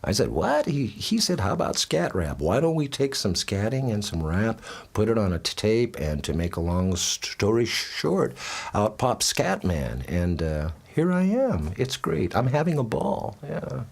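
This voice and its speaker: Deep, slow man who stutters. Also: very animated, vocal fry, husky. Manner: laughs often, candid and upbeat. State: tired from touring. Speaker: interviewee, musician. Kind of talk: relaxed interview talk.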